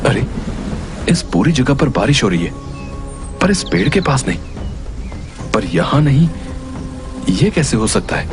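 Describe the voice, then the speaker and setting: A man's voice: mid-range voice, very animated, measured pace, long pauses. A man voicing a naive, easily startled young hero in a cartoon story, with a wondering, exclaiming manner. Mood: puzzled. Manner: wondering, exclaiming, talks to himself. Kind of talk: Hindi cartoon story voice acting. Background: music.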